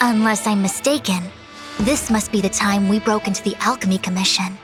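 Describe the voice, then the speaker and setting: A woman's voice: medium pitch, steady tone, brisk, close to an everyday voice. Woman to voice learned female master diviner, video game. Formal, pompous, self-possessed delivery, with music underneath. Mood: calm, instructive. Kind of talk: scripted video game voice acting.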